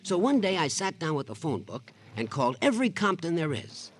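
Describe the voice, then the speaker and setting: An actor playing an arch, nosy American man who relishes scandal, with a husky, teasing delivery in a 1950s radio drama. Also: fairly high pitch, very animated, brisk, a little hiss or hum. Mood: sly, amused. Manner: mannered, teasing, sly.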